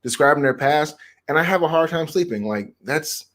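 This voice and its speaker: A man's voice: fairly high pitch, animated, moderate pace, a bit bright. A man chatting casually as a co-host, talking in an emphatic, slangy way. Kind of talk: casual chat.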